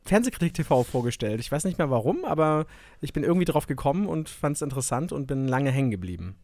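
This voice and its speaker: Relaxed man with a faint Saxon accent. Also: on the high side, quick pace, no room sound. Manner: relaxed, lots of hesitation fillers. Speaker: guest. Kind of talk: casual conversation.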